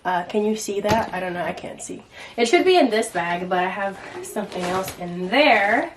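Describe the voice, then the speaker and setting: Young Southern American woman for a home vlog; chatty, slangy, rambling delivery, ordinary mid pitch, with household noise behind her. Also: animated, normal speed. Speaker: book and craft vlogger. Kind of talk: casual vlog chat.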